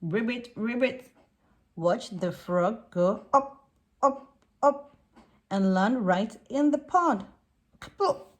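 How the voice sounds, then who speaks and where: A woman reading a picture book aloud to young children in an expressive way, putting on animal sounds. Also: on the high side, slow, pauses often.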